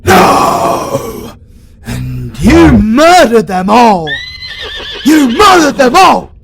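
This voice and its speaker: A British man voicing an anguished young male demon hunter who fights possession, pleading and desperate, sometimes breaking into shouts. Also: very high voice, huge pitch swings, drawn-out words, gravelly. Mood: furious. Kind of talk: fantasy audio roleplay. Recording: loud ambient noise behind.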